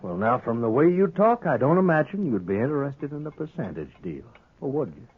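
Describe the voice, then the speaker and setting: An actor playing a sly, brash saloon-owning gambler who needles others in a Western American accent, in a 1950s radio western. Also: male voice, mid-range voice, sing-song, brisk, slightly rough, narrow, tinny audio. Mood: needling, defiant. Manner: cocky, needling, talkative. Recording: thin old radio broadcast recording.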